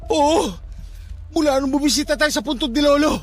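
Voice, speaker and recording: High-voiced, stubborn, haunted young man. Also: moderate pace, faint music behind. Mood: panicked. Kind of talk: radio drama acting.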